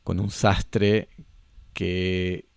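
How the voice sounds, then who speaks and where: A man talking casually about film, thinking aloud with many pauses and restarts. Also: low-pitched, level tone, creaky, clear, resonant tone, dry recording. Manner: thoughtful, halting, many restarts.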